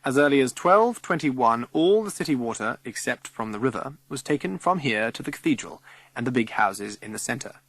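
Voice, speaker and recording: A man voicing an excitable male schoolteacher on a class outing, emphatic and instructive, in a children's audiobook. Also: mid-range voice, normal speed, dry recording, low-quality recording. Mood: enthusiastic teaching.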